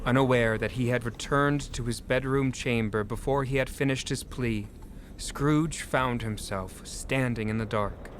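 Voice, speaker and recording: A man's voice: medium pitch, fairly even intonation, unhurried, a little hiss or hum. A man giving scripted storybook narration for an audio drama.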